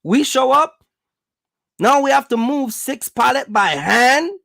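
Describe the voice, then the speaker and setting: A man's voice: extremely high pitch, lively intonation, slow pace, vocal fry, bright, forceful tone, no room sound, clean studio sound. A man hosting a casual Q&A for viewers, giving business advice in colloquial, slangy phrasing and repeating his points for emphasis. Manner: repetitive, emphatic urging.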